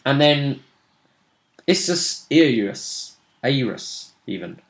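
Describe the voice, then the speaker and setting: Male, game master in relaxed, conversational recap of a tabletop session. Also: mid-range voice, slow pace. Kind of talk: casual chat recapping a tabletop role-playing session.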